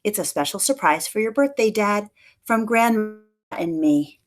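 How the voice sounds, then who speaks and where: A woman voicing a bright, eager seven-year-old girl in a picture-book story, speaking earnestly. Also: medium pitch, animated, normal speed, pitched above the performer's own voice, natural voice. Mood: excited.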